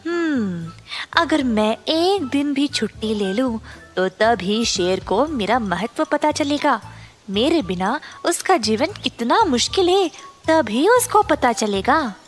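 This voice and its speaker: A woman plays a cunning fox from a children's cartoon story, a servant who schemes. The voice sits rather high and moves slowly, over faint music. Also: animated. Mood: scornful, scheming. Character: cunning fox servant, flattering yet secretly scornful.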